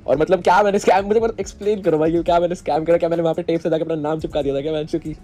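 A young man speaks in a fairly high, mellow voice. He sounds sarcastic and defensive. Faint music plays in the background. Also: steady tone, racing. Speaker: esports player. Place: indoor room.

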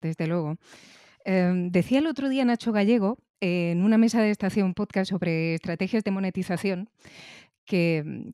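A woman with an accent from Spain hosting a podcast interview, asking measured, attentive questions. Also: medium pitch, warm tone, no room sound, studio quality. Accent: Spain Spanish. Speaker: interview host.

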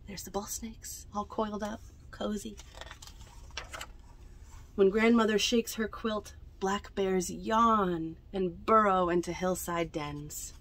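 A young woman reads picture books aloud to children in an unhurried storytelling manner, adding chatty asides about the pictures. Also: mid-range voice, steady tone, a bit bright, slight background noise. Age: young adult. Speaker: library storytime reader. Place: indoors among bookshelves in a small library bookmobile.

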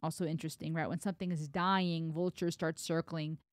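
A woman in her thirties from Germany, with German as her first language, giving an animated music reaction on a boom mic in a home studio. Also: brisk, no echo. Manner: animated, gestures while talking, reads lyrics aloud. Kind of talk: casual music reaction commentary. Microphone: broadcast mic on boom arm, headphones.

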